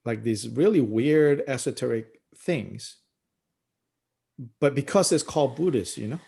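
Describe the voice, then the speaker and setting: A middle-aged man leads a book study as a Buddhist teacher. His voice is husky with a gravelly edge. His pitch swings freely as he muses aloud, pausing often and chuckling between thoughts. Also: lively intonation, dark tone. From Malaysia. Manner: thoughtful, unhurried, many "you know"s and pauses, chuckles often. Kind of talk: reflective discussion talk.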